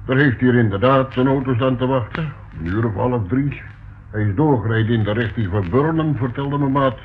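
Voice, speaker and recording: A man's voice: medium pitch, normal speed, close to an everyday voice. An actor playing a plain-spoken, helpful working man in a radio play, with a homely, slightly hesitant manner. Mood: matter-of-fact. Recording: tinny, faintly noisy old radio recording.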